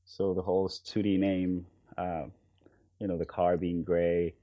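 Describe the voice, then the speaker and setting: A man from Venezuela, a podcast guest, talking in a relaxed, hesitant way with many pauses and fillers. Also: low-pitched, no echo, muffled recording. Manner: hesitant, many ums and uhs, soft trailing phrases. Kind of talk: relaxed conversational interview.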